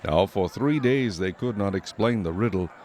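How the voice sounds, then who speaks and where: A man's voice: on the low side, lively intonation, normal speed, a little mellow, a little hiss or hum. A man narrating scripture as a scripted audio drama narrator.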